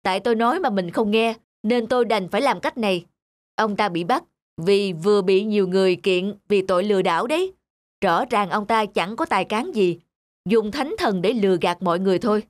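An actor playing a sensible young peasant husband in an animated folk tale, reasoning in a firm, skeptical manner. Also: male voice, high voice, a little fast, no room sound, close to an everyday voice. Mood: challenging.

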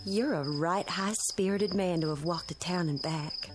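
An actress playing a sweet young farm girl in a radio drama, speaking softly and earnestly. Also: lowish voice, measured pace, background noise, close to an everyday voice. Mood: tender. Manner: soft, folksy and earnest.

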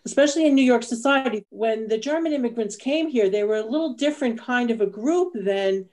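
Female panelist, slightly high voice, informal explanatory talk over a video call. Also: expressive, fairly quick, a little mellow.

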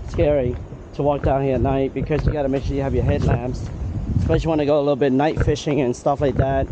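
A man in his forties vlogging casually at a seaside campsite, chatty and easily impressed, recorded on an action camera. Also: on the high side. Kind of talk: casual vlog narration. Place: windy seaside campsite outdoors. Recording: dull camera audio with loud wind.

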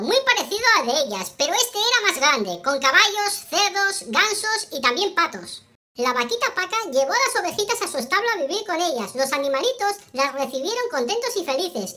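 A performer voicing a cute little kitten who tells a children's story in a friendly, expressive, childlike way. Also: very high-pitched, heavily acted. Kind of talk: children's storytelling, scripted narration.

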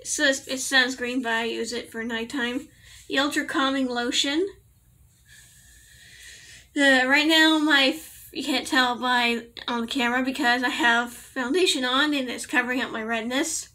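A young woman talks to her laptop camera in a small bedroom, her voice high and bright with a slight allergy rasp. She rambles, giggles and corrects herself as she goes. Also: fairly even intonation, unhurried. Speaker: vlogger. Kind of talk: casual product review to camera.

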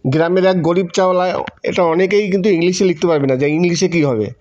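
A man's voice: high voice, steady tone, quick pace, a little mellow. A Bengali man giving step-by-step tutorial narration in a repetitive, instructional manner. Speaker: tutorial presenter. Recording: dull, muffled lo-fi voice-over.

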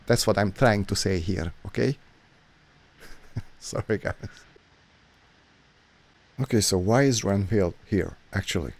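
Film reaction host, Hungarian accent, lowish voice, eager, rambling, self-correcting. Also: male voice, slow pace, pauses often. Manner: chatty, excited, self-correcting. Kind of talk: casual reaction commentary. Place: small dark home studio. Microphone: studio mic on boom arm, headphones.

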